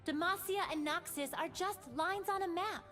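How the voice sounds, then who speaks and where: An actress playing an optimistic, playfully sarcastic young mage of light in a video game. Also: female voice, very high voice, moderate pace, faint music behind. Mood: cheeky, teasing. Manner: bright-eyed earnest, playfully sarcastic. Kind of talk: video game character voice lines.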